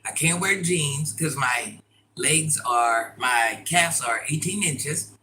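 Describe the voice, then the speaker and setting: A middle-aged woman with a deep voice, chatting casually and bluntly as she answers viewer comments in a livestream. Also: slow, pushed and bright, slight background noise. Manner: blunt, sassy, talks straight to commenters.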